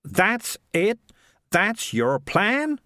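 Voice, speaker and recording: A man's voice: high-pitched, very slow, dry recording, studio quality, voice pushed up, exaggerated voice. A man voicing a battered, grumpy male cat in a storybook, gloomy and dryly sarcastic. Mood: weary scorn. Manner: gloomy, sighing, deadpan sarcasm.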